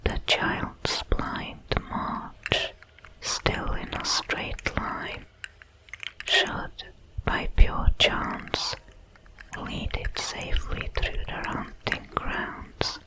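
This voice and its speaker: Woman whispering as a slow, watchful alien creature. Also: low-quality recording. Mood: calm, observant. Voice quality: breathy whisper. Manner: deliberate, measured, formal diction. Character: many-legged alien predator, calm, watchful, protective, coldly curious. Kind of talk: whispered ASMR audio roleplay narration.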